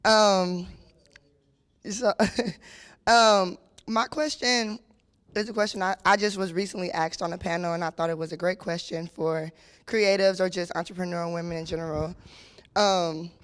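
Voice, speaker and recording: A hoarse woman from the audience asking a long, chatty question. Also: on the low side, steady tone, normal speed. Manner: chatty, rambling.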